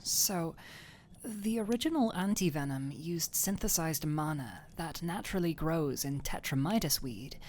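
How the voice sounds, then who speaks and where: A woman playing a gentle, anxious fantasy alchemist with a teasing, tender streak in an intimate roleplay audio drama, speaking in a soft, hesitant murmur. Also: low voice, animated, measured pace, a little hiss or hum. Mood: absorbed, pondering.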